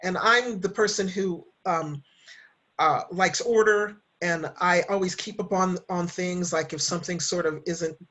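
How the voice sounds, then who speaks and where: A woman's voice: on the low side, unhurried, fairly bright tone. A woman talking casually as a podcast guest, chatty and thinking aloud as she goes. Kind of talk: casual interview chat.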